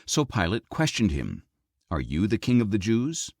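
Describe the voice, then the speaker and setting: A man reading scripture as an audiobook narrator, in a measured, expressive storytelling delivery. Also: fairly low pitch, no room sound, clean studio sound.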